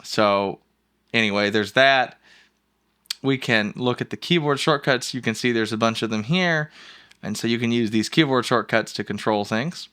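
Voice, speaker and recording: A man hosting an informal, jokey, rambling software walkthrough in a casual screencast style. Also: medium pitch, normal speed, clear, resonant tone. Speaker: host of a software review show.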